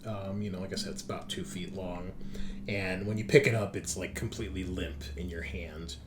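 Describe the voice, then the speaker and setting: A man working as game master, improvising descriptive narration for a tabletop horror game in a halting way. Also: low voice, fairly even intonation, a little hiss or hum.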